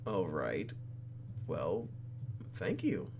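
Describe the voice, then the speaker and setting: A man's voice: deep voice, wildly swooping pitch, very slow, creaky, a little mellow, a little hiss or hum. A man doing playful, exclamatory gameplay commentary as he reads a visual novel aloud, with faint music behind him. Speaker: let's play host.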